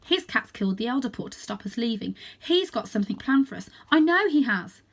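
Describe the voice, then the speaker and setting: An earnest young tomcat from a children's storybook, played by a woman, speaks in a raised, high voice. He is anxious yet determined, pressing each point with urgent emphasis. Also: very animated, brisk, fairly bright tone, husky, heavily acted.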